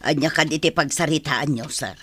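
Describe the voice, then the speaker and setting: A woman playing a cheeky, chatty servant in an Ilocano comedy radio drama, excitable and comic, with an Ilocano accent. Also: low-pitched, fairly quick, breathy edge. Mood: flustered.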